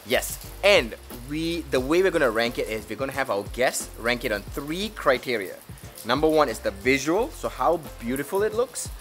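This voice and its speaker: A woman presents a food video as its host. Her voice is very deep for a woman and moves in lively swings, with music playing underneath. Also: normal speed. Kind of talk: scripted video introduction.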